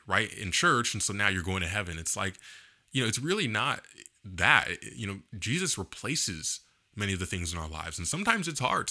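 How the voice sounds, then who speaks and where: A man's voice: lowish voice, bright, forceful tone. A young man in his twenties talking earnestly to camera about his faith, with lots of fillers, into a studio mic in a small room. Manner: earnest, gesturing, frequent "you know" fillers. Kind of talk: earnest opinion monologue to camera. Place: small indoor home studio. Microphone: boom-mounted studio mic in front.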